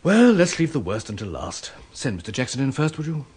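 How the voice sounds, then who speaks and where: Troubled senior manager, medium pitch, slightly raspy, lively intonation, radio drama. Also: male voice, fairly quick, heavily acted. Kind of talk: British radio drama acting.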